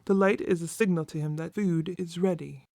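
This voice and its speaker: A woman's voice: on the low side, fairly even intonation, normal speed, creaky, warm tone, no echo, clean studio sound. A woman playing an anxious servant's wife protecting her family, earnest and pleading, in an audio drama. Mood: distressed confession.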